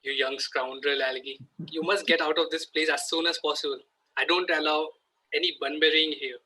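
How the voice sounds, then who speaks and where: A man playing an irritable, stern Victorian gentleman guardian in an amateur table read of a stage comedy, scolding in an exasperated way. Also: a little high, steady tone, normal speed, poor audio. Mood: indignant, scolding.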